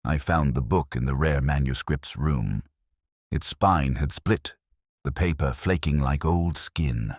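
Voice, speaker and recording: A man narrating a scripted literary detective story as a melancholy, erudite police inspector, in a measured, solemn and reflective manner. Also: very deep voice, breathy edge, dry recording, studio quality.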